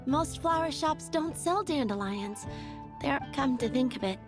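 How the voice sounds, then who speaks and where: A woman's voice: sits high, animated, unhurried. A woman playing a sweet, flustered young flower seller in a video game, hesitant and eager to please. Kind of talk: animated video game voice acting. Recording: music behind the voice.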